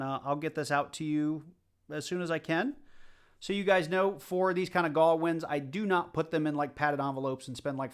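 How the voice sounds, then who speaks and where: A man's voice: fairly high pitch, clear, resonant tone. A man with a light Southern US accent, casually chatting to his livestream viewers while hunting coins. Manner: chatty, rambling, talks to the chat. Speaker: livestream host.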